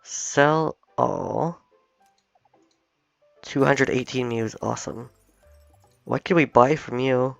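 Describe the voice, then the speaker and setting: A slightly hoarse man chatting casually as he plays a game, thinking aloud as he goes. His voice has a gravelly edge. The dull, dry home recording carries faint game music underneath. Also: mid-range voice, slow, long pauses, fairly bright tone, dry recording. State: slightly hoarse, recovering from illness. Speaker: gaming video host. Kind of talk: casual let's-play commentary.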